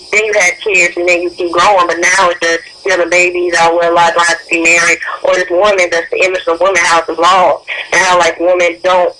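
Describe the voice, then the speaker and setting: A woman's voice: lowish voice, bright, forceful tone, rough recording. A young woman giving earnest interview answers as a guest, repeating key phrases for emphasis. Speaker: interview guest, youth activist.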